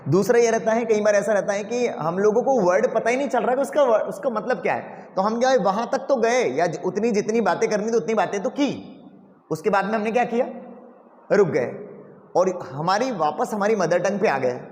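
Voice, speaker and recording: High-voiced man lecturing in echoey classroom. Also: moderate pace, a little mellow. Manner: asks the class rhetorical questions. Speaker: English teacher.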